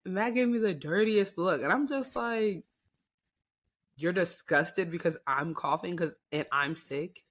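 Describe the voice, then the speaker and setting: Woman co-host, deep hoarse voice from a cold, laid-back casual chat. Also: a little slow. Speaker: podcast co-host.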